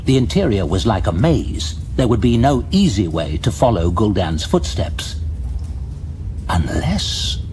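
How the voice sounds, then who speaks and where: A man giving scripted fantasy audio drama narration, measured and dramatic, recorded as a studio voice-over. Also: fairly low pitch, very animated, darkish tone, slight background noise. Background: faint music.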